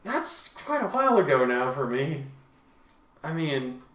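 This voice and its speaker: A man's voice: a little high, sing-song, unhurried, a bit bright, roomy sound. A man who hosts and narrates, moving between casual chat and dramatic story reading.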